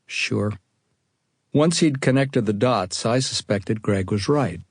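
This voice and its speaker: An American man reads a first-person account as audiobook narration. His voice sits on the low side. The sound is dry, with almost no room around it. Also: takes it slowly, slightly rough, dry recording. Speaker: author reading own audiobook.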